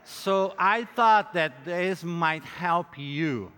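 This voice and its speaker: A man's voice: sits high, very slow, bright, forceful tone, clear, resonant tone. A middle-aged man from Brazil with a Brazilian accent, a native Portuguese speaker, preaching to a conference as a pastor and addressing listeners directly in a joking, self-deprecating way. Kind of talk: conference sermon with audience interaction. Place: large hall, speaking to a conference audience. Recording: reverberant hall sound.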